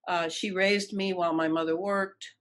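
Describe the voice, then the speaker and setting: An older woman with an American accent answering in an interview, deliberate and emphatic, repeating phrases for weight. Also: lowish voice, steady tone, a bit bright. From Detroit, United States. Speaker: interview guest.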